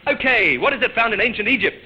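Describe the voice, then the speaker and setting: A man's voice: high-pitched, expressive, fast talker, pushed and bright. A man hosting a vintage radio quiz show with playful, teasing banter. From Australia. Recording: dull old radio recording, noisy studio audience.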